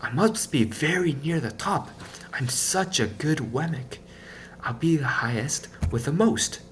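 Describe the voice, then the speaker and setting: A man's voice: animated, measured pace, a little hiss or hum. Man doing a small, meek wooden puppet fellow, Punchinello. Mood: pleased with himself. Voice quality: small, higher voice. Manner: quiet, hesitant. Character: small weary wooden toy person, meek and ashamed.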